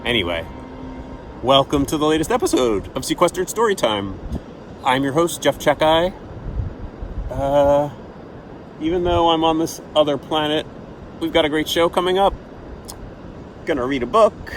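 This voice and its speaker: A man's voice: fairly high pitch, lively intonation, moderate pace, pauses often, a little hiss or hum, rough recording. A man hosting a children's storytime show, telling a playful adventure story in a theatrical manner. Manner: theatrical, acting out his own adventure.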